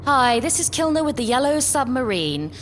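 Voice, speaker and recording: A woman playing a brisk, scheming but tactful female spaceship captain in a live sci-fi comedy audio drama. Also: high voice, very animated. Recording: live stage recording with faint noise.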